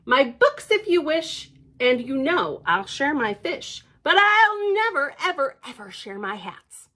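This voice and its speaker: A woman voicing a possessive, hat-loving female bear in a picture book, with emphatic, drawn-out refusals. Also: high voice, sing-song, pushed and bright, full character voice. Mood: insistent.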